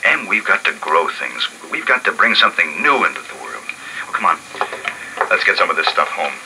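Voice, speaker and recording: A steady lone survivor, radio drama. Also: male voice, low-pitched, a little fast, heavy background noise. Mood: calm. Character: adult male survivor husband, steady and thoughtful. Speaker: narrator and lead character. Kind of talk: 1950s radio drama acting. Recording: old radio broadcast with loud score.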